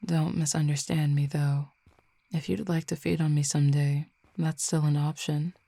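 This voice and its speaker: A dominant, teasing fallen Cupid, played by a woman in an audio roleplay. The voice sits low and dark with a gravelly edge, held level with little rise and fall. It speaks slowly and closely to the listener, slipping into whispers. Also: little pitch movement, no echo. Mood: calm explaining. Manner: slow, measured, intimate, with soft whispered stretches. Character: commanding, teasing fallen Cupid, not human.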